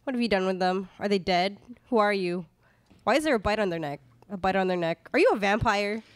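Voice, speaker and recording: A woman's voice: medium pitch, brisk, clear, resonant tone, lightly acted. A young woman playing a headstrong daughter out for revenge in a live radio play. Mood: urgent, demanding. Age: young adult. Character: vengeful, headstrong daughter hunting a vampire. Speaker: student radio play performer. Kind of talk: live radio theatre acting. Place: small classroom studio. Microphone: stand microphone, close.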